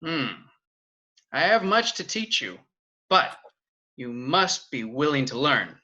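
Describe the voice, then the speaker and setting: Man reading serene kung fu master, bright tone, lively pitch, table read over video call. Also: highs cut off, heavily acted. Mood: solemn. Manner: solemn, measured proverb-like delivery. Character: calm elderly male kung fu master.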